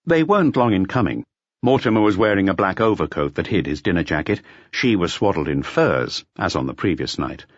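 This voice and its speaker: A man's voice: sits low, big pitch swings, fairly quick, no room sound, highs cut off. A man reading scripted narration from a detective novel as an audiobook narrator.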